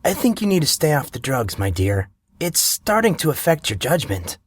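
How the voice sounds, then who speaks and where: A man's voice: on the high side, big pitch swings, normal speed, slightly rough, voice pushed up. A man voicing a wry, sarcastic teenage boy, easily exasperated. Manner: sarcastic, dry.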